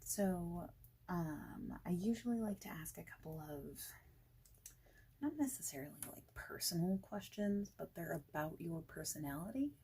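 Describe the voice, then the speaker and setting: A woman speaking softly and breathily, often near a whisper, in an informal, reassuring ASMR boss roleplay. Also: on the low side, expressive, normal speed, slight background noise. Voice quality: soft, breathy, often dropping toward a whisper. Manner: informal, reassuring, rambling chat. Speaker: ASMR creator playing a friendly boss in a job interview roleplay.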